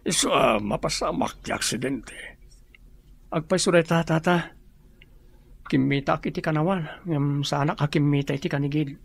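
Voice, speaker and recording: Drama role: male actor as earnest young villager, Ilocano accent, fairly high gravelly voice. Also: moderate pace.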